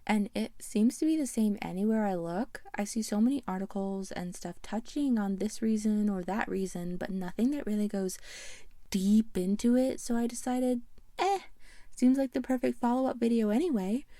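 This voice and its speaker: A woman with a mellow, relaxed voice talks through a video essay in an informal, chatty way. She sounds self-effacing and conversational, recorded close and very dry with no room around her. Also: medium pitch, moderate pace, dry recording. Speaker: video creator and host.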